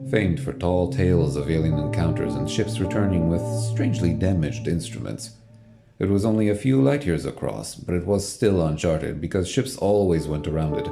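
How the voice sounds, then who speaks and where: Guy narrating a space audio drama, really low voice, animated, music playing behind him. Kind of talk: scripted story narration for a sci-fi audio drama. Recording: music bed under the voice.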